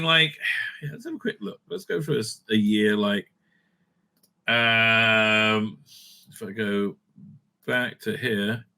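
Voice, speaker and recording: Forceful, bright-voiced man rambling through opinions. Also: medium pitch. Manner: emphatic, repetitive, halting, often tagging points with "right?". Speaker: host of a fan news and opinion show. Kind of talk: casual, rambling opinionated commentary.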